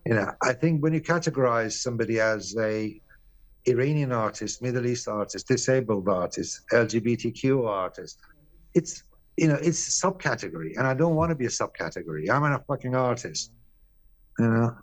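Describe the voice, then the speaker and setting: A middle-aged man from Iran, a painter being interviewed, talking candidly and bluntly, often pausing and restarting his sentences. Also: medium pitch, expressive, no room sound, low-quality recording. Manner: candid, blunt, swears casually. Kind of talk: reflective interview answers.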